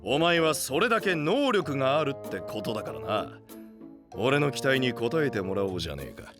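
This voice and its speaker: A man playing a gruff, ambitious knight boss with a soft heart, speaking in brash delinquent style in an anime voice drama. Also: mid-range voice, big pitch swings, normal speed, close to an everyday voice. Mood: brash, approving. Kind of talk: Japanese anime voice drama acting. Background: background music.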